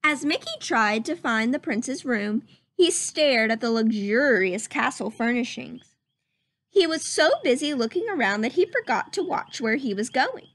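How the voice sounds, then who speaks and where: A woman reading a children's story aloud as narrator, with expressive, deliberate story-time delivery. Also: a little high. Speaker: storybook reader.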